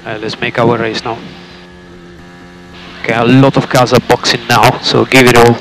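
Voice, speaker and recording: A man's voice: mid-range voice, flat delivery, normal speed, a bit bright, faint music behind. A male race engineer speaking over team radio in terse, clipped instructions, often repeating them. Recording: noisy motorsport team radio, car noise.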